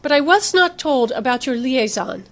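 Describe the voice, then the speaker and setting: A woman playing a smooth, cautious, authoritative female research doctor in an audiobook, speaking with polite formality. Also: high voice, sing-song, moderate pace, fairly bright tone, pitched above the performer's own voice, exaggerated voice.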